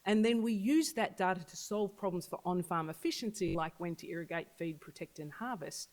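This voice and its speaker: An agtech business leader giving an earnest launch speech to an audience at an event. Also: brisk. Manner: earnest, pitching, repeats for emphasis. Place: event venue.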